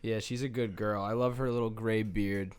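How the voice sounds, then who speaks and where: A man's voice: on the low side, steady tone, moderate pace, a little mellow, slight background noise. A man in his twenties co-hosting a podcast, chatting easily and asking the guest questions. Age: 20s. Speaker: podcast co-host. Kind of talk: casual podcast chat.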